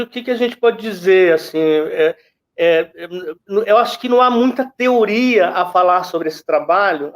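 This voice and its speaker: A man with a Brazilian accent giving conversational commentary as a guest critic, reflective and often searching for words. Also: sits high.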